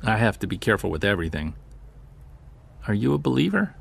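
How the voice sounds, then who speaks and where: Low, gravelly male Sasquatch investigator. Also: big pitch swings, quick pace, full character voice. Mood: probing. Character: earnest male Sasquatch investigator recording a field journal. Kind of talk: audio drama, conversational first-person recorded narration.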